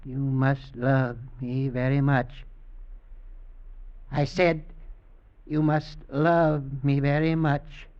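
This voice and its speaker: An actor playing a menacing old executioner and possessive husband, speaking in pointed, mock-affectionate questions in vintage radio drama style. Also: male voice, medium pitch, little pitch movement, extremely slow, pauses often. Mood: sly false sweetness. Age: older. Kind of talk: 1940s-50s American radio drama acting. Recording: dull vintage radio recording.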